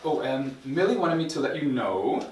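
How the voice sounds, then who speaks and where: A woman's voice: a bass voice, expressive, moderate pace, a little mellow, recorded from a distance. A woman acting an eager young assistant in a TV script table read, with a deferential, slightly flustered manner. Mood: flustered, apologetic. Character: eager, gossipy, apologetic young assistant in twenties. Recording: echoey room, off-mic, faint noise.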